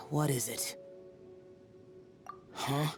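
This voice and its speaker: A man's voice: medium pitch, steady tone, extremely slow. A man voicing an aloof young warrior spirit, curt, formal and detached, over game music. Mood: cold. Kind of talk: video game voice acting. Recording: game soundtrack under the voice.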